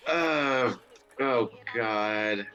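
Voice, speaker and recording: A man's voice: mid-range voice, very slow, pushed and bright, lo-fi sound. A man giving casual commentary as a co-host in brief, understated remarks. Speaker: podcast co-host riffing on a cartoon.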